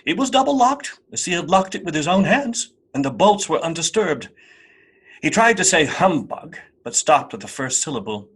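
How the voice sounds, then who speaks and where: A man narrating a radio play as a scripted, dramatic storyteller, with a slightly husky voice. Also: a little high, very animated, moderate pace, a bit bright.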